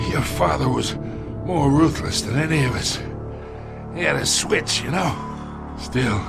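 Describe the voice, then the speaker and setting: An actor playing an aging, wounded crime boss in a video game, taunting slowly and mockingly through his pain. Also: mid-range voice, heavily acted. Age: older. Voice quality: gravelly. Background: faint music.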